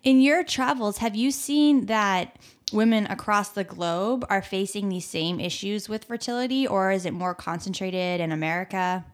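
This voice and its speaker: A woman hosts a podcast interview in a conversational, earnest way, with "I mean" sprinkled through her sentences. Her voice sits at an ordinary middle pitch. The sound is dry and close. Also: normal speed, dry recording. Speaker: interviewer and host.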